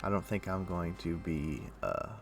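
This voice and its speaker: A man livestreaming a game, chatting casually and thinking aloud in a rambling way, with a rough morning voice. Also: low-pitched, a little slow, soft background music. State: rough morning voice, throat clearing. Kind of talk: casual chat while playing an online game.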